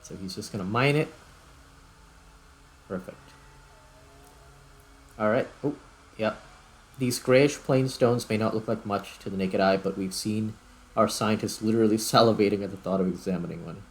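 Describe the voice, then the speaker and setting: A man's voice: lowish voice, moderate pace, pauses often, low-quality recording. A man giving casual video game commentary as host, thinking aloud in short fragments. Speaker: gaming YouTuber, host. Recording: clear background noise.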